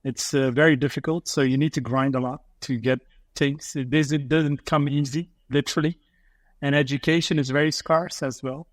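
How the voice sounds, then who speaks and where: A man's voice: on the high side, steady tone, normal speed, dry recording. A man from Afghanistan, a guest on a podcast, talking casually and acting out investors' thinking in quoted asides.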